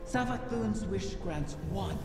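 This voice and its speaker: An actor voicing an ancient, cunning wish-granting dragon in a video game, silky and taunting, with cavernous reverb on the voice. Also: male voice, high voice, animated. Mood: sly, taunting. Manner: silky, taunting, drawn-out phrasing. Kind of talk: video game dramatic dialogue. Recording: heavy reverb, music behind.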